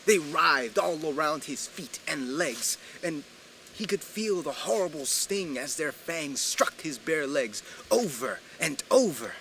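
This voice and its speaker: Bright-voiced theatrical man telling folk tales. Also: fairly high pitch, animated. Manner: theatrical, deliberate phrasing with emphatic pauses. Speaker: storyteller. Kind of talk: dramatic folk tale storytelling. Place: live evening storytelling program, filmed. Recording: noisy live event recording.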